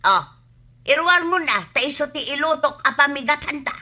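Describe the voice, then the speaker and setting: An actress with an Ilocano accent playing a sharp-tongued, nagging village wife in a radio comedy drama. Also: female voice, high voice, animated, moderate pace, full character voice.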